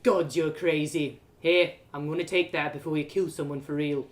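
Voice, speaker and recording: A woman's voice: low voice, fairly even intonation, moderate pace, voice pushed down, natural voice. A scornful young farm man from a storybook, played by a woman. He sounds bossy, exasperated and dismissive, teasing as he gives orders. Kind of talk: storybook character reading.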